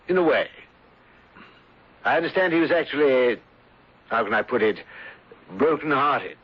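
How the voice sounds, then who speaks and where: An actor playing an ingratiating, mournfully sympathetic English gentleman in a radio drama, with a florid, hesitant delivery. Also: mid-range voice, animated, normal speed, breathy edge. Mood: probing, insinuating. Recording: dull, lo-fi 1970s radio recording.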